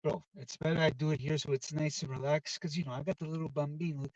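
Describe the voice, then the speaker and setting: A man hosting a casual live football chat from inside a car, talking loudly and excitedly and dropping Italian football phrases into his talk. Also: fairly high pitch, quick pace, no echo. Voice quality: loud voice. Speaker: live-stream football show host. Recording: dull, distant, dry car recording.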